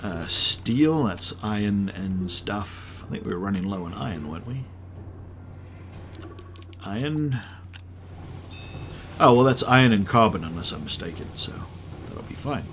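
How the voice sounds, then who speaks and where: A man's voice: fairly low pitch, lively intonation, measured pace, pauses often, fairly bright tone, a little hiss or hum. A man in his late thirties from South Africa, with an accent that mixes South African, Australian and American. He chats casually and rambles while streaming a game, thinking aloud with many ums. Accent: mixed South African, Australian and American. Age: late 30s. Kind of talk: live-stream gameplay chat.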